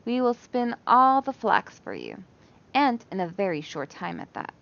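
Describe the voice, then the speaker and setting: A woman's voice: on the high side, big pitch swings, unhurried, vocal fry, fairly bright tone, muffled recording, exaggerated voice. A woman voicing strange, misshapen spinning women who bargain matter-of-factly in a storybook.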